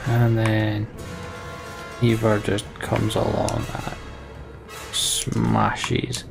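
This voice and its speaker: A man's voice: on the low side, monotone, very slow, gravelly. A man giving casual let's play commentary on a video game in a dry, offhand, muttering way. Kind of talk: casual gameplay commentary with some read-aloud narration. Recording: game music behind the voice. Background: video game music.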